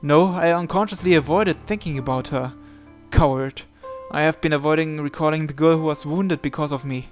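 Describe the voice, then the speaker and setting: A man's voice: fairly high pitch, normal speed, soft background music. A man hosting a visual novel playthrough, reading the first-person narration aloud.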